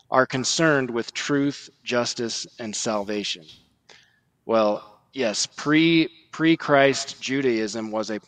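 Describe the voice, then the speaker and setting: A man's voice: medium pitch, no room sound, rough recording. Male podcast guest, mid-range voice, dry sound. Earnest, explanatory, conversational religious commentary.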